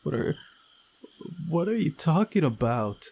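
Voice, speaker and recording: A man voicing a confused, groggy young man from a visual novel, speaking in a halting, bewildered way. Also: a little high, big pitch swings, gravelly, darkish tone, slight background noise, voice pushed up, full character voice. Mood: shocked, confused.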